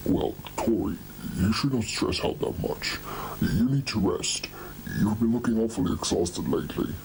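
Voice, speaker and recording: A man acting as a monster king in a fan-made horror drama, speaking low and gently to calm his anxious wife. The recording is very noisy. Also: normal speed, vocal fry. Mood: placating, gentle. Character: soft-spoken male monster king, placating his worried wife.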